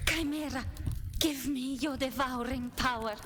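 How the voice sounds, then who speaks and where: A woman's voice: sits high, unhurried, a bit bright. A woman playing a defiant, cold-blooded young female warrior in a video game, making terse, threatening, resolute declarations. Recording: noisy game ambience behind.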